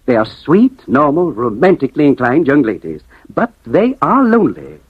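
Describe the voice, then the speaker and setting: A man's voice: mid-range voice, wildly swooping pitch, moderate pace, breathy edge, no echo. An American man playing a persistent, genial salesman in a 1950s radio drama, persuasive, flowery and emphatic, on a dull vintage recording. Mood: ingratiating. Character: smooth-talking, persistent male romance-service salesman, genial and grandiose.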